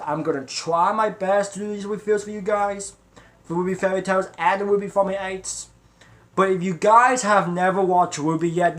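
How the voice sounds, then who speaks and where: A man's voice: on the high side, fairly bright tone. A man hosting a reaction video, chatting casually and directly to viewers in a rambling, repetitive way. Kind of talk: casual trailer reaction chat.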